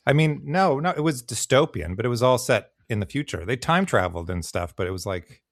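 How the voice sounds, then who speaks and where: A middle-aged man chatting casually as a podcast guest, self-deprecating and halting in how he talks. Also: mid-range voice, expressive, no echo, clean studio sound. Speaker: podcast guest, actor and writer. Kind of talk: casual chat.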